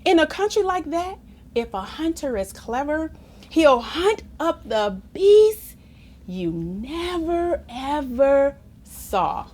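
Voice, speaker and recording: A woman reading a children's story aloud in an expressive, playful, theatrical manner, as if to young listeners. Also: high-pitched, slow. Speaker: story reader.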